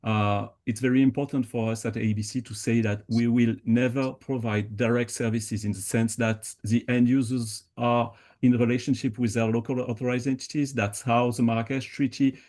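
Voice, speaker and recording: A man answering audience questions at a conference panel, explaining in a measured way with frequent pauses and fillers. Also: medium pitch, little pitch movement, darkish tone.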